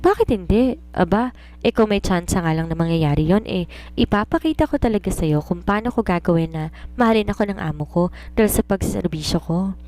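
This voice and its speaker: A woman's voice: mid-range voice, expressive, a little fast, dark tone, lightly acted. A woman voicing a confident romance writer in an audiobook, giving earnest, instructive advice like a mentor. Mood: boastful, coaxing. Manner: earnest, instructive, self-assured. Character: confident, preachy woman romance writer advising her maid. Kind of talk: audiobook character dialogue.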